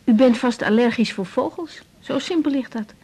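An actress playing a caring, practical young female doctor in a radio drama, asking and explaining in a brisk, direct way. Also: on the high side, warm tone, slightly rough. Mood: firm, practical. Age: young adult.

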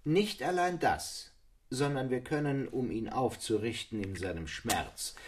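An actor playing a genial literary friend in a radio drama, speaking with ornate irony. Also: male voice, medium pitch, measured pace, a little hiss or hum, natural voice. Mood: wry approval. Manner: ornate, ironic. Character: genial literary friend critiquing with ironic generosity.

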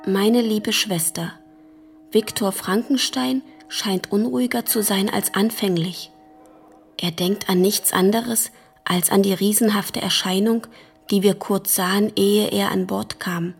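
A woman playing a grave, haunted letter writer, narrating in a hushed, measured way in a radio drama. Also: mid-range voice, fairly even intonation, creaky, breathy edge. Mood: hushed, troubled.

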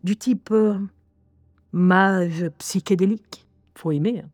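A woman's voice: medium pitch, slow, darkish tone, no echo, clean studio sound, natural voice. An actress playing a wry young woman who hears voices, reading her diary in a radio drama with ironic, confiding asides. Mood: wry reminiscing. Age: young adult. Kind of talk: radio drama first-person monologue.

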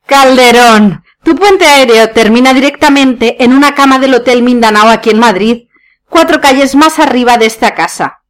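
A woman's voice: a little high, normal speed, lightly acted. A woman from Spain acting a scornful, sardonic wife in a radio play farce, with a cutting manner and a Spanish accent. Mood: accusing.

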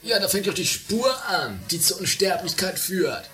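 Woman doing a lowish, blunt, impatient adventurer. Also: animated, normal speed, fairly bright tone. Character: impatient, adventurous, grumbling woman of castle household. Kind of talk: amateur comedy radio play acting.

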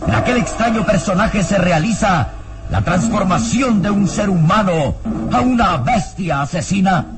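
A man's voice: sits high, animated, normal speed. A man playing a solemn radio serial narrator, declamatory and suspenseful, with scripted dramatic delivery. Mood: urgent announcing. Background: dramatic music.

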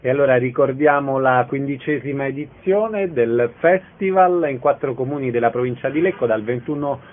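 A man's voice: mid-range voice, moderate pace, phone-quality sound. A man hosting a radio interview, speaking in formal, prepared phrases. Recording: thin band-limited radio sound.

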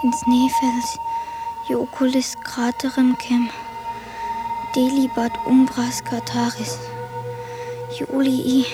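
An actress playing a dutiful woman deciphering an ancient text in a radio drama, reciting deliberately. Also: high-pitched, big pitch swings, over music, exaggerated voice. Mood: solemn. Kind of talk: German radio drama acting.

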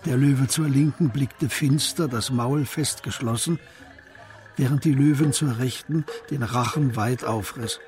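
A man narrating a crime radio play as scripted literary storytelling, in a measured manner. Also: mid-range voice, darkish tone, soft background music.

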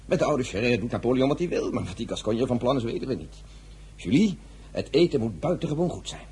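An actor playing an eager, self-important, politically scheming brother-in-law in a Dutch period radio drama. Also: male voice, mid-range voice, animated, fast talker, darkish tone, husky.

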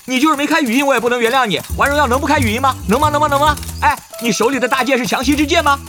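A man's voice: very high-pitched, very fast, bright, forceful tone, faint music behind. An actor playing a cocky, hyper-talkative young swordsman gamer in an audio drama, chattering with repeats and exclamations. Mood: taunting chatter.

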